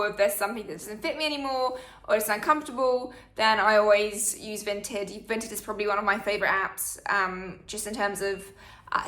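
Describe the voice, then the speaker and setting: A woman's voice: mid-range voice, sharp tone, roomy sound. A woman, chatting through a Q&A for her channel with plenty of fillers. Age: 20s. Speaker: vlogger. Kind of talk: casual Q&A chat.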